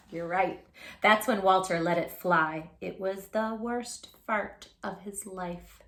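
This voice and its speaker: A woman reading a picture book aloud for children in an animated, playful way, talking directly to young listeners. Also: medium pitch, echoey room. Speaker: children's storytime host.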